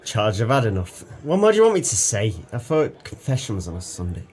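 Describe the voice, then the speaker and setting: An actor playing a guilt-ridden, drunken former sailor in an audio drama, bleak and bitter, with a brooding, poetic delivery. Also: male voice, fairly low pitch, very animated, fairly quick, a little mellow. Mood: defensive. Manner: brooding, poetic, theatrical monologue.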